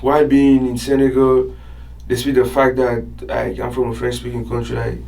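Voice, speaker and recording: A man, chatting casually as a podcast guest in a relaxed, chuckling way. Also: mid-range voice, moderate pace, vocal fry, far from the mic, rough recording. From Burkina Faso. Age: 20s. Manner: laid-back, chuckling, loose filler-heavy talk. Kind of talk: casual interview chat. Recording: voice a bit off-mic with faint room noise.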